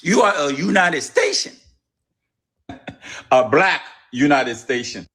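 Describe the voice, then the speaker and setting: Guy from haiti lecturing slow, bright voice, swings his pitch all over. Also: big pitch swings. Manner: lecturing, emphatic. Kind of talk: ranting social media clip.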